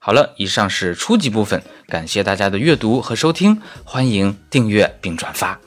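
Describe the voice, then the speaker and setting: A man's voice: lowish voice, big pitch swings, soft background music. A man who is a native Chinese speaker, teaching German grammar in a scripted but conversational lesson with carefully spoken examples. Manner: didactic, conversational particles, slow careful example sentences. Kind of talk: scripted audio lesson with casual asides.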